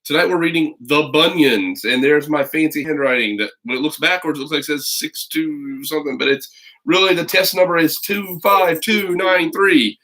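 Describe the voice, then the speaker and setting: A man's voice: fairly high pitch, normal speed, pushed and bright, poor audio. A middle-aged American man hosting a casual livestream, chatting in a rambling way to viewers and a child. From United States. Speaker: host, teacher reading stories to viewers. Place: home, makeshift video corner.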